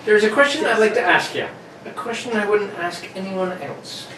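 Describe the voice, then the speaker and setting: A man's voice: sits high, lively intonation, normal speed, pushed and bright. A man playing a deferential local police sergeant, talking colloquially in a radio play. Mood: confiding. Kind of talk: amateur radio-play acting. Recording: roomy, distant, noisy live recording.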